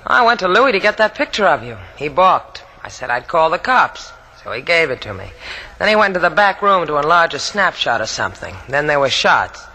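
A woman's voice: deep voice, sing-song, brisk, sharp tone, big-room sound, heavily acted, rough recording. A woman playing a tough, spirited, wry young woman in trouble, in dramatic old-time radio style. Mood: shaken, wry. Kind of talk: 1940s-50s radio adventure acting.

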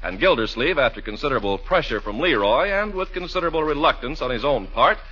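A man's voice: mid-range voice, lively intonation, fast talker, bright, forceful tone, husky. A man narrating as the announcer of a scripted 1940s radio comedy. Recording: muffled 1940s radio recording.

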